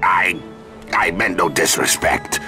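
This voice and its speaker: An actor playing a flustered, obsequious local dignitary in a video game, stammering as he flatters. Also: deep voice, takes it slowly, music in the background.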